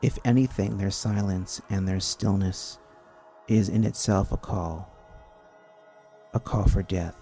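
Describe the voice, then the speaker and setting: A man narrating an old-time radio adventure in a theatrical, grandiose manner with dramatic pauses. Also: lowish voice, mellow. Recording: narration over guitar music. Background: easy listening guitar music.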